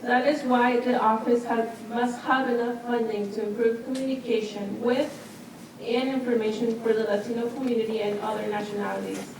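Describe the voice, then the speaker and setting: A woman interpreter reading a translated testimony aloud at a city hearing in a steady, plain way. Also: a little high, unhurried. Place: public meeting hall. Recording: very echoey hall, distant mic, noise.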